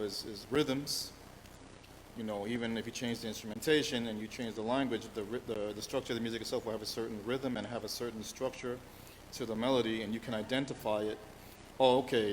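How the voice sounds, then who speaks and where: A middle-aged man from New York City answering interview questions in an earnest, halting way, full of restarts and "you know"s. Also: medium pitch. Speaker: musician interviewed as guest. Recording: long reverb, faint background hiss.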